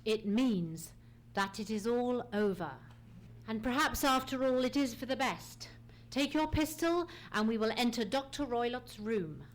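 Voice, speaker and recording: A woman's voice: a little high, unhurried, close to an everyday voice. A woman playing a keen, commanding detective in an old-time radio play, with a dramatic, declamatory delivery. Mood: grim, commanding. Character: Sherlock Holmes, keen, commanding male detective. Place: theatre stage, live radio play. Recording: noisy live stage recording.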